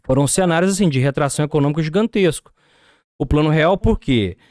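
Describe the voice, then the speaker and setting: A man's voice: fairly high pitch, a little fast, a little mellow, no echo, clean studio sound. A Brazilian man with a Brazilian Portuguese accent, a podcast guest explaining business ideas and asking rhetorical questions.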